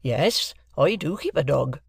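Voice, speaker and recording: A man's voice: fairly high pitch, expressive, measured pace, vocal fry, slightly rough, no echo, clean studio sound, exaggerated voice. A man voicing a plain, honest middle-aged country blacksmith who answers bluntly and earnestly.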